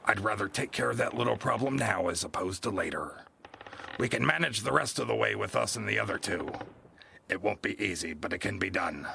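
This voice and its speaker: A man playing a gruff, scheming captain of an old sailing ship in an audio drama, with commanding, salty seafaring speech. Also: fairly low pitch, animated, brisk, noisy background. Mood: grave, scheming. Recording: loud ship and sea ambience behind.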